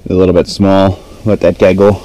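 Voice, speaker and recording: A man with a low, mellow voice gives casual fishing commentary. He talks low-key, half to himself, into a body-worn camera, with some background noise. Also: flat delivery, moderate pace. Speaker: fishing vlogger. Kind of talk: casual running commentary while ice fishing. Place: small ice-fishing shelter on a frozen lake, sometimes out on open ice. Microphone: action camera worn on the body. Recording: dark muffled sound, background noise.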